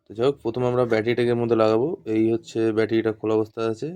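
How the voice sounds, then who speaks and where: A man's voice: lowish voice, completely flat delivery, very fast, mellow, smooth. A man giving an informal hands-on tutorial as an instructor, explaining step by step and repeating himself. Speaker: instructor demonstrating walkie-talkies.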